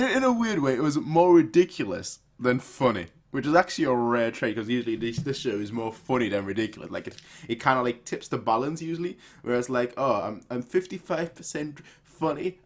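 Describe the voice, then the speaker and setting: A man giving casual reaction commentary in a rambling way, often repeating phrases for emphasis. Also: mid-range voice. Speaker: reaction video host.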